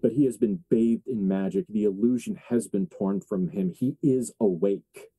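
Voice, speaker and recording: Dark, mellow-voiced man running a horror game. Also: on the low side, moderate pace, husky, dry recording, low-quality recording. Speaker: game master. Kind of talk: improvised narration of a horror tabletop roleplaying game.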